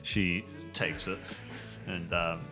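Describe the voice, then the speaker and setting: A man's voice: deep voice, little pitch movement, slow, loud music behind the voice. A man chatting casually and narrating as game master of an improvised tabletop game.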